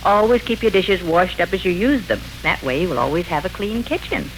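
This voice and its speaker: A woman's voice: on the low side, animated, brisk, noisy background. An actress playing a patient, instructive mother guiding her son in a 1940s radio drama.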